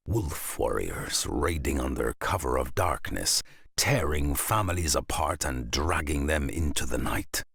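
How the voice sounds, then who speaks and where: Very deep gravelly saga narrator. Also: male voice, a bit bright, no room sound, studio quality, heavily acted. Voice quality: gravelly, husky. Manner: solemn, portentous. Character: grave, weathered male saga storyteller. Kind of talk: dramatic scripted saga narration.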